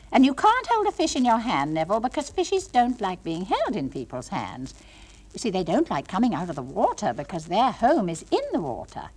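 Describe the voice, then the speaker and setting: A woman playing a patient, brightly patronising English nursery school teacher in a comic monologue, coaxing and gently scolding small children in a sing-song way. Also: mid-range voice, breathy edge. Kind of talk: comic monologue, one side of classroom talk.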